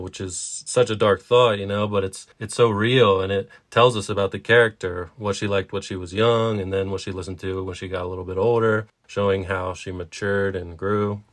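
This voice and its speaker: A man's voice: fairly low pitch, fairly even intonation, normal speed, few pauses. A man co-hosting a writing podcast, talking through a story in a reflective, explanatory way. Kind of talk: conversational literary discussion.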